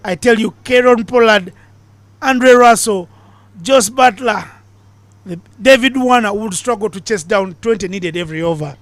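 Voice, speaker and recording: A man commentating on cricket live from a commentary box, bantering and joking between balls and getting excited on big hits. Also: high voice, moderate pace, no room sound. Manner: chatty, jokey banter with big excited calls.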